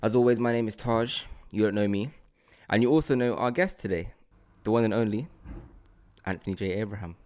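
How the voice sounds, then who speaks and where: A man with a fairly low voice and a London accent hosts a relaxed, conversational podcast. He speaks in informal slang and often repeats phrases to make his point. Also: fairly quick. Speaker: podcast host. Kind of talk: casual chat.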